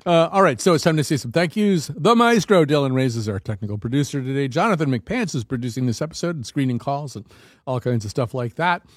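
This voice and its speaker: A man's voice: mid-range voice, lively intonation, fast talker, dry recording, studio quality. A man hosting a call-in radio show, talking casually with many fillers and restarts. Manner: rambling, frequent fillers and restarts. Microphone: studio microphone.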